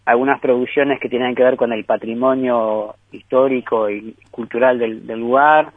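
A man's voice: medium pitch, fairly even intonation, moderate pace, no room sound. A man, a guest filmmaker, talking through his group's work in a rambling, thoughtful way with many restarts. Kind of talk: conversational interview over the phone. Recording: tinny phone line.

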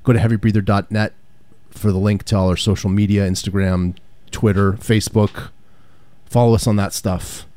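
A man's voice: on the low side, level tone, moderate pace, mellow, no room sound. A man co-hosting a podcast in casual, laid-back banter, with dry humor and some mumbling. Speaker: podcast co-host.

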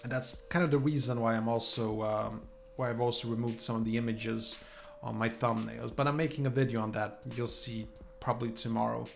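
Gravelly man chatting casually to viewers. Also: medium pitch, level tone, soft background music. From Sweden. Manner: halting, rambling, informal. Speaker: YouTuber. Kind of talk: casual chat to viewers, unscripted.

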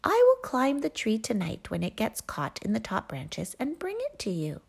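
A woman's voice: on the high side, huge pitch swings, normal speed, creaky, husky, pitched above the performer's own voice, heavily acted. Woman doing a bouncy, clever court jester. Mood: gentle. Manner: bright, bouncy and gentle. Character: playful, clever young male court jester.

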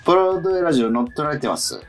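A man's voice: on the high side, animated, quick pace, music in the background. A man hosting a radio show, moving between announcements and casual banter. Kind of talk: upbeat scripted show introduction with banter.

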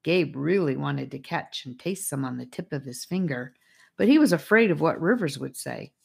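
Low-voiced woman narrating a storybook. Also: lively intonation, normal speed, gravelly, husky. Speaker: audiobook narrator. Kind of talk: scripted children's fantasy narration.

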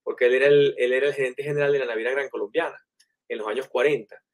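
A man telling animated, anecdotal stories in a relaxed conversation. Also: mid-range voice, fast. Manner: animated, anecdotal, many fillers. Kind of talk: casual storytelling in conversation.

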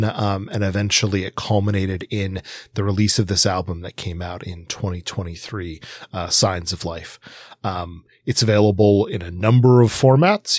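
A man co-hosting a podcast in a casual, hesitant way, with frequent ums and pauses. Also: fairly low pitch, no room sound, studio quality. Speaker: podcast co-host.

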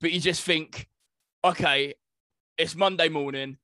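A man's voice: fairly high pitch, steady tone, unhurried, bright, forceful tone, dry recording, clean studio sound. A British man co-hosting a podcast, chatting casually about the news. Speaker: podcast co-host.

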